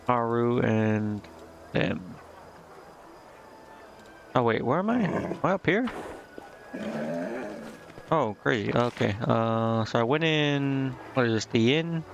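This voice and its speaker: A man's voice: mid-range voice, gravelly, lo-fi sound. A man giving casual live gameplay commentary, muttering and thinking aloud with hesitation. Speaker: game streamer. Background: game music.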